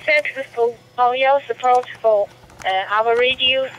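Slow Chinese-accented woman over radio. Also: on the high side, a bit bright, poor audio. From China. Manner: hesitant, halting English. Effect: handheld radio transmission. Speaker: radio company representative. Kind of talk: two-way radio conversation. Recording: radio speaker picked up with noise.

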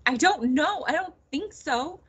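A woman voicing a steady, injured witch in a storybook, plain-spoken and hesitant. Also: high voice, a little slow, voice pushed up. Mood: weary, unsure.

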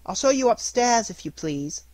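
Polite young housemaid, done by a male narrator in a high voice. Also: very animated, normal speed, a little mellow, dry recording, rough recording. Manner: polite, helpful.

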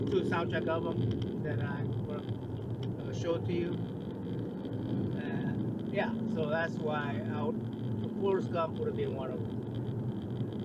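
A middle-aged man in his fifties chatting to the camera while driving, rambling and enthusiastic, with lots of restarts and fillers. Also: a little high. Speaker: music vlogger. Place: inside a car on a highway. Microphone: dashboard camera mic. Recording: dull lo-fi car audio with loud road noise.

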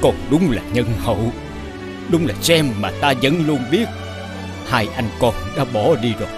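A man playing a remorseful, loving aging king in an animated fairy tale, speaking solemnly and formally. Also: mid-range voice, a little slow, natural voice. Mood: regretful, fond. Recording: clear music behind the voice.

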